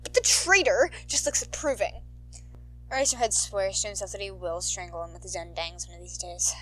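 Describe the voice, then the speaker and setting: A woman, an amateur narrator, reading fan fiction aloud in an expressive, informal style. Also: mid-range voice, a little fast, slight background noise, rough recording. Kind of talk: animated fan fiction narration, casual asides.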